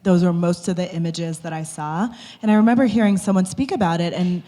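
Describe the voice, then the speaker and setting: A woman on a live panel, speaking in a relaxed, conversational way with frequent "like" and "you know". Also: lowish voice, brisk. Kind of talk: panel discussion.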